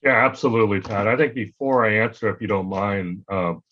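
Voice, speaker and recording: A man with a low voice answers a panel question politely and with care. His line sounds dull and lo-fi. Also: a little fast. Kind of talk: panel discussion.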